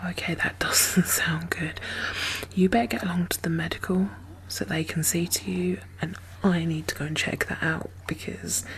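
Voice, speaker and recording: Slow, whispery woman acting a zombie apocalypse survivor. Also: on the low side, low-quality recording. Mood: concerned, reassuring. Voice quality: soft, breathy, partly whispered. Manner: hesitant, musing, halting. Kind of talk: soft-spoken ASMR roleplay.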